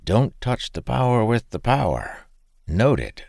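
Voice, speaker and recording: A man voicing an old man stuck in a young body, proud, fussy and quick to take offense. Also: mid-range voice, sing-song, vocal fry, a little mellow, dry recording, studio quality, voice pushed up, heavily acted. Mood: wry. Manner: indignant, fussy, formal.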